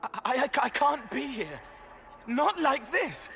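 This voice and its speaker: A frightened, lost young spirit-boy in a fantasy game. The voice is very high and strained, and the words come slowly in halting, stammered fragments. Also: very animated, gravelly, sharp tone, slight background noise, exaggerated voice. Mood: panicked, distressed. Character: anxious young male spirit turned human, frightened and lost.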